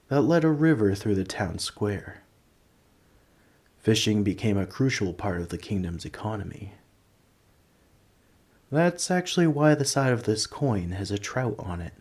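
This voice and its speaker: A man voicing an ancient, gentle, nerdy dragon in an ASMR roleplay, rambling in a politely formal, scholarly way. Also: fairly low pitch. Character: ancient, gentle, nerdy male dragon who collects coins. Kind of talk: scripted ASMR roleplay, speaking to the listener.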